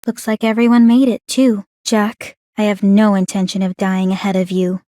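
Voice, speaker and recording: An actress voicing a calm, clinically curious young woman in a video game, speaking in a formal, measured, coolly teasing way. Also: mid-range voice, no room sound, clean studio sound, natural voice. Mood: composed, appreciative. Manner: composed, measured, formal, inquisitive. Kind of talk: scripted video game character voice lines.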